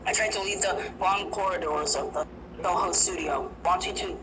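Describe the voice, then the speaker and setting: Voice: medium pitch, moderate pace. A narrator telling a first-person horror story, hesitant and prone to restarts. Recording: noisy recording.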